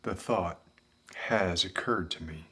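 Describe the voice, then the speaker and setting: A man playing a world-weary, philosophical former detective with a Southern American accent, musing haltingly in an ASMR roleplay monologue. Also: deep voice, fairly bright tone, slight background noise. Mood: brooding, philosophical. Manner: deliberate, halting, musing, intellectual.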